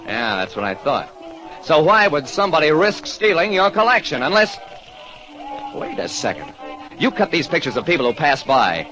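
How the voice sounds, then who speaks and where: Lively American radio detective. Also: male voice, fairly high pitch, moderate pace. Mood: probing. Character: wry, quick-thinking American private detective. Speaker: narrator and detective lead. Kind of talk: 1940s radio detective narration. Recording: dull vintage radio recording, music behind.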